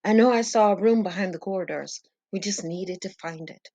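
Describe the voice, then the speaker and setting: A woman's voice: medium pitch, a little fast, mellow, highs cut off. A woman reading a scripted first-person story in a dramatic, suspenseful, theatrical manner. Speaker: narrator and reader of an audio drama story.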